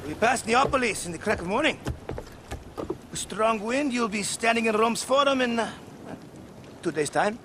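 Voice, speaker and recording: An actor playing a boisterous, hearty ship's captain in a video game, rough-and-ready in manner. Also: male voice, extremely high pitch, animated, moderate pace, pauses often. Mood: frank, fatherly. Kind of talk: dramatic video game acting. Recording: loud sea and boat noise.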